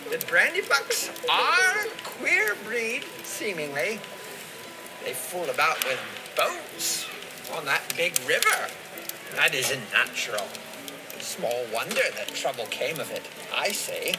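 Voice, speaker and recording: Rambling old hobbit gardener, swooping pitch. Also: male voice, noisy background, exaggerated voice. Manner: rambling, gossipy, rustic phrasing. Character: talkative old male hobbit gardener, folksy and opinionated. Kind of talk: dramatized audio play dialogue.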